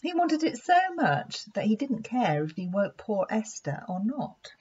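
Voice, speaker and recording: A woman reading a children's story aloud in an expressive, deliberate storytelling manner. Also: medium pitch, breathy edge. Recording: dull lo-fi sound.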